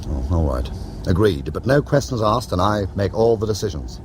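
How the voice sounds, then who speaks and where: An actor playing a wry, sardonic, quick-witted criminal schemer in a radio drama. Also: male voice, lowish voice, big pitch swings, noisy background. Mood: dry banter. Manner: sardonic, bantering. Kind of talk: radio drama acting and narration.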